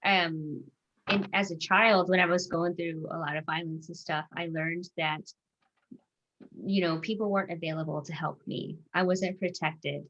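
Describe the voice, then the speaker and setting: A woman life coach speaks as a panel guest in a soft, reflective way. Her voice sits a little low, and she pauses often with "um" and "like." The audio is dull and lo-fi. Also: steady tone. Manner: soft-spoken and reflective, with many "um" and "like" fillers. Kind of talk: casual panel chat.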